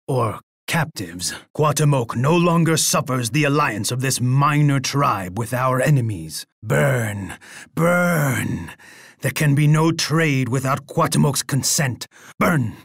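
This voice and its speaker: A proud Aztec warlord for a strategy video game. His voice is husky and dry, and he delivers his lines slowly. He is imperious and boastful, with a bloodthirsty edge. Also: mid-range voice, no echo, exaggerated voice. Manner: imperious, boastful, speaking of himself in third person.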